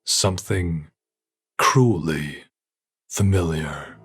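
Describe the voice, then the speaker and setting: A man's voice: low-pitched, very slow, fairly bright tone, breathy edge. A man reading fiction as an audiobook narrator, with a dramatic storytelling delivery. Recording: music under the voice. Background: music.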